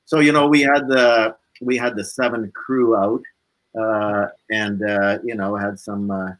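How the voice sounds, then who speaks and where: A man's voice: lowish voice, fairly even intonation, a little slow, a bit bright, low-quality recording. A man speaking as a musician guest in a casual interview, telling stories in a rambling, easygoing way. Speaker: musician guest on a talk show.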